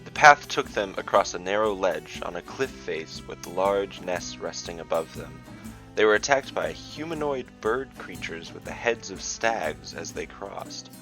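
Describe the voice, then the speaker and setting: Low-voiced man narrating a fantasy tale. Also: animated, a little slow, pushed and bright, soft background music, low-quality recording. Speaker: storyteller and dungeon master, podcast host.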